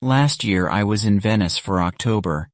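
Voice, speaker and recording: Low-voiced man narrating a story. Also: normal speed, no room sound, clean studio sound. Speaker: audiobook narrator. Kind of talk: scripted story narration, learner English.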